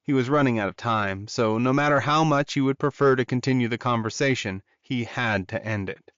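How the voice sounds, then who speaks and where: A man reads scripted fiction as an audiobook narrator. His pitch is medium, and the recording is very dry and a little dull. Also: a little fast, fairly bright tone, smooth, dry recording.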